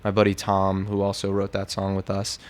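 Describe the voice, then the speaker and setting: A man's voice: low voice, monotone, fairly quick. An American man, a musician, chatting casually in an interview in a laid-back, slangy, conversational way. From New York, United States.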